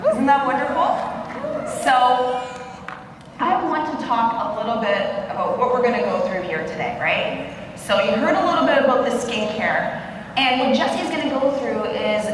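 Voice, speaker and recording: A woman's voice: a little high, lively intonation, unhurried. A woman from Poland, raised in Canada, presenting on stage at a convention, upbeat and rallying the crowd with questions. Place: large convention hall. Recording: echoey hall, distant mic, crowd noise.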